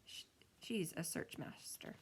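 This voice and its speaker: A woman's voice: slow pace, slight background noise, close to an everyday voice. A woman voicing a bold, smart schoolgirl in a storybook, confident and urging. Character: bold, smart schoolgirl backing her friend.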